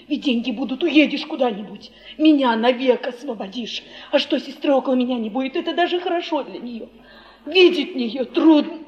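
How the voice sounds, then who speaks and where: An actress as a scorned, high-voiced scheming wife. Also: female voice, lightly acted. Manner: intense, pleading, theatrical delivery. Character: scorned, scheming, passionate wife of a flophouse keeper. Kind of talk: Soviet 1950s-70s stage drama acting.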